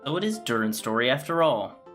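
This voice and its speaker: Woman gamer, very deep voice, lively swings, casual playthrough commentary, music behind. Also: a little fast. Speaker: gaming streamer. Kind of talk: casual playthrough commentary, reading game lines aloud. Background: game music.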